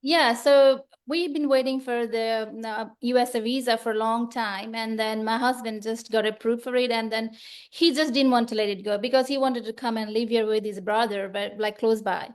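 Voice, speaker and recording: A woman's voice: a little high, steady tone, normal speed. A woman from Nepal in her thirties or forties, a nurse, answering interview questions on a video call in a friendly way, explaining things step by step. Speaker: guest, nurse.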